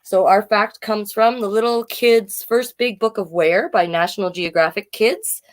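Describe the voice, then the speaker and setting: A woman's voice: medium pitch, measured pace. A woman hosting a children's storytime livestream, chatting casually and reading out facts, often getting sidetracked and correcting herself.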